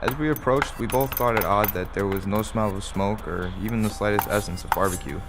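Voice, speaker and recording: A middle-aged man playing a wry, measured father who narrates a strange roadside tale in a radio play. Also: on the low side, heavy background noise, close to an everyday voice. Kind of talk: scripted first-person radio play narration. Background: music.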